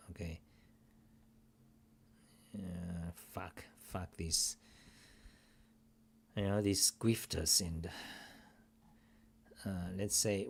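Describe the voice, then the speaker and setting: Slow, low-voiced rambling man. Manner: rambling, profane, grumbling asides. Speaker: host. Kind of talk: casual screen-share talk show. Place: home desk, indoors.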